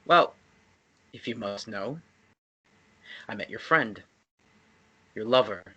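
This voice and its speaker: A man playing an earnest, stubborn young man in a dramatic screenplay reading, intense and halting as he pleads. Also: lowish voice, expressive, bright, forceful tone, husky, lo-fi sound, full character voice. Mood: pained, pleading. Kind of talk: dramatic table read of a romance screenplay.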